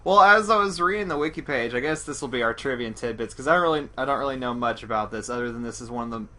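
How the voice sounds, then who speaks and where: Man, medium pitch, podcast co-host, casual discussion, rambling, self-deprecating. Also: very fast, bright, forceful tone.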